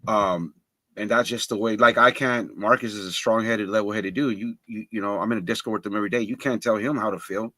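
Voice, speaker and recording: Forceful man from Los Angeles. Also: fairly low pitch, steady tone, brisk, no room sound. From Los Angeles, United States. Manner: candid, slangy, thinks aloud with restarts. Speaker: livestream panelist. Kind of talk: casual chat.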